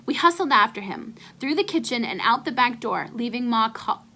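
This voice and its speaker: A woman giving an expressive read-aloud narration of a children's storybook. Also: on the high side, moderate pace.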